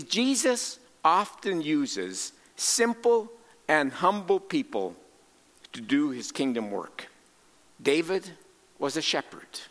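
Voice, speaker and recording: A man preaching a sermon as a missionary, speaking deliberately and emphatically in short phrases with pauses, in a large reverberant space. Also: on the high side, bright, forceful tone. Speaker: preacher and cross-cultural missionary. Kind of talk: sermon with scripture quotations and rhetorical questions.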